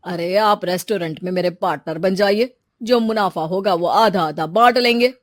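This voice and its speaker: A woman's voice: mid-range voice, normal speed, no echo, clean studio sound, lightly acted. A woman voicing a chatty, persuasive young male restaurant owner in a moral story.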